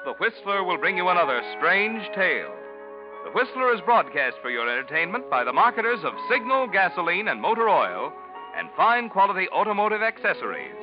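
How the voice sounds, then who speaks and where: A man announcing a 1940s radio show in a polished, emphatic announcer cadence. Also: high voice. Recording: muffled vintage radio broadcast with music.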